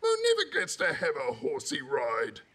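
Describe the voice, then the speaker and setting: A man with a New Zealand accent voicing a friendly, childlike cartoon monster, gravelly and hesitant. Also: fairly high pitch, huge pitch swings, moderate pace, heavy background noise. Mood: disappointed. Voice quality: gravelly, husky. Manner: hesitant, simple, refers to self by name. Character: friendly, wide-eyed furry monster, childlike and eager. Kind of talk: preschool cartoon voice acting.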